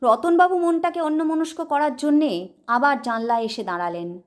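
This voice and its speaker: A woman narrating a suspenseful ghost story from a script, expressively and with deliberate pauses. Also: sits high.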